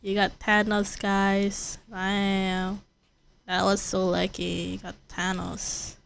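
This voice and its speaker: A woman's voice: mid-range voice, monotone, takes it slowly, gravelly, a little hiss or hum. A woman livestreaming a video game, chatting casually and thinking aloud in rambling, repeated phrases. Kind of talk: casual livestream chat while gaming.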